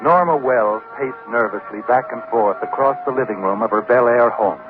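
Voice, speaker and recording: A man's voice: mid-range voice, moderate pace, over loud music, lightly acted. An actor playing an insinuating, suspenseful male narrator of a 1940s radio mystery. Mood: ominous, insinuating. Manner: insinuating, suspenseful second-person address. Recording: tinny, noisy 1940s radio recording.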